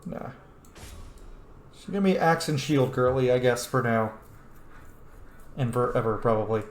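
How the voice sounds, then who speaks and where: Male gamer, casual playthrough commentary, informal, sweary, muttering, medium pitch, echoey room. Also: unhurried. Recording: echoey room, faint noise.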